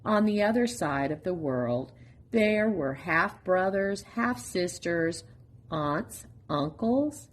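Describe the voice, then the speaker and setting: A woman reading a children's picture book aloud as a storytime reader, in an expressive, deliberate manner with pauses. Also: fairly low pitch, a little hiss or hum.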